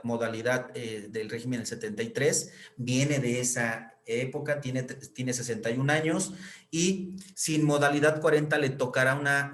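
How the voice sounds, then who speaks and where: A man with a Mexican Spanish accent explaining pension rules in a lecture, with many fillers and checks like "right?". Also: medium pitch. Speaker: accountant explaining pension reform. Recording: echoey room.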